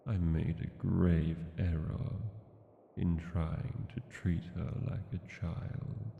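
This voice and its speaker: A brooding old-world dragon king acted by a man speaks very low and extremely slowly, weighing each phrase with regret. His gravelly voice rings in a long cavernous reverb. It is a solemn, intimate fantasy audio roleplay monologue. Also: warm tone, lo-fi sound, slight background noise, full character voice. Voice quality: deep, gravelly. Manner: slow, deliberate, solemn phrasing with long pauses.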